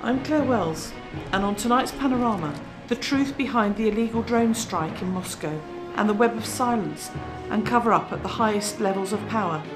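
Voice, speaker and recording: An actress playing a resolute investigative TV journalist in a radio drama, presenting in a measured, emphatic way. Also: female voice, mid-range voice, music in the background, close to an everyday voice. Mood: resolute, serious. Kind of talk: scripted documentary presenting within radio drama.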